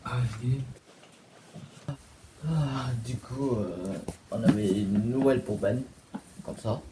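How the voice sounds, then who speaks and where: A man with a cold vlogging about his home setup, talking haltingly with many fillers and restarts. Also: medium pitch. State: congested with a cold. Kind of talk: casual home video tour. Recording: echoey room, far from mic, steady noise.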